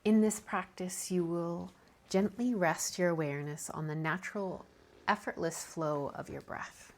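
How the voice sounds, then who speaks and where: Slow, low-voiced woman guiding meditation. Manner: calming, gentle, soft-spoken with breathy softness. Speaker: yoga nidra teacher. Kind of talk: guided meditation.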